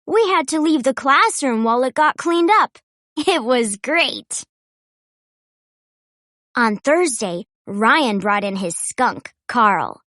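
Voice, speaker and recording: A woman reading a children's storybook aloud in an expressive, playful way. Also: fairly high pitch, slow, no echo, clean studio sound. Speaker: children's book reader. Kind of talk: storybook read-aloud narration.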